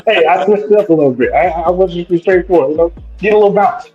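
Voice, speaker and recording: A man co-hosting a casual anime podcast, a bit raspy and under the weather, talking in an easygoing, joking way. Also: sits high, fast talker, narrow, tinny audio, over music. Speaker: podcast co-host.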